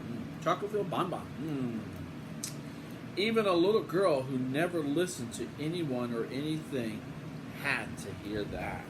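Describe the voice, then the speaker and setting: A man's voice: mid-range voice, normal speed. An older man, a grandfather, reading a picture book aloud in a casual, playful way with folksy asides. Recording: home recording with steady room hum.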